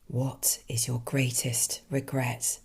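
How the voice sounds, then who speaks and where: A low, breathy whisper of an Angel of Death, performed by a woman storyteller. The delivery is slow, grave and eerie, with every word left hanging in a hushed, hooded solemnity. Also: flat delivery, heavily acted. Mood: solemn, probing. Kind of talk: dramatic short story reading.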